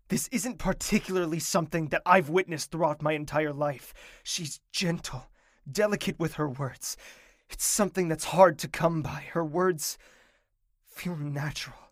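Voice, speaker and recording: A man voicing a brooding, lonely young royal, narrating his thoughts in first person in an audio drama, quiet and sometimes whispering. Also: on the high side, unhurried, no room sound, studio quality, natural voice. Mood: wistful.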